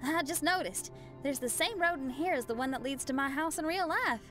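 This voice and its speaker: A woman's voice: high-pitched, fairly quick, a bit bright, faint music behind. A woman voicing a frank, spirited young female musician in a video game. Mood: relaxed, reflective.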